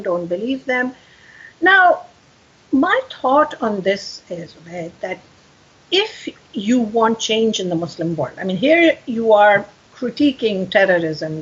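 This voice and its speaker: An older woman whose first language is Urdu, speaking earnestly as a podcast guest, often pausing with fillers. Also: medium pitch, a bit bright, breathy edge, highs cut off. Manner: earnest, many fillers and restarts. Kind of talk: podcast interview.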